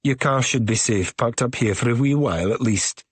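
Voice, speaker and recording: A man's voice: mid-range voice, normal speed, husky, dry recording, pitched above the performer's own voice, lightly acted. A man voicing a tall, weathered, middle-aged Scottish ferry woman who speaks in a curt, matter-of-fact way. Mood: wry.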